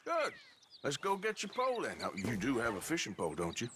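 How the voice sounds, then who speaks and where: An actress playing a no-nonsense young mother on the frontier, speaking briskly and firmly in a game cutscene. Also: rumbling low, wildly swooping pitch, background noise, exaggerated voice. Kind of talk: video game cutscene acting.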